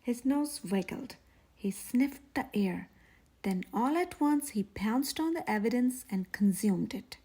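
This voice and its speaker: Female children's storyteller, high-pitched and husky, expressive read-aloud. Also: takes it slowly. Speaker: children's story reader, channel host.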